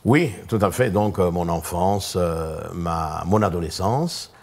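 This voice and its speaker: A man in his sixties from Congo, a guest pastor telling his life story in a television studio, pausing often with fillers. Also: low voice. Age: 60s. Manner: many filler pauses, measured storytelling. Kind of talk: hesitant, thoughtful recounting.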